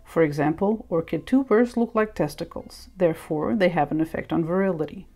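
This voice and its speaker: Low, creaky-voiced woman narrating. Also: brisk, mellow. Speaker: presenter. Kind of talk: scripted educational narration. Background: music.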